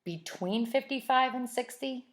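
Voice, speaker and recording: A woman's voice: fairly high pitch, measured pace, pitched above the performer's own voice, lightly acted. A woman voicing an eager boy at a fair booth in a storybook, putting questions methodically. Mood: eager, questioning.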